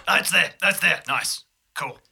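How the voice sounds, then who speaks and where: A man playing a bumbling, breezy, forgiving police chief in an improvised audio drama, talking in a halting, distracted ramble. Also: sits high, vocal fry, sharp tone, breathy edge, exaggerated voice. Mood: distracted, casual.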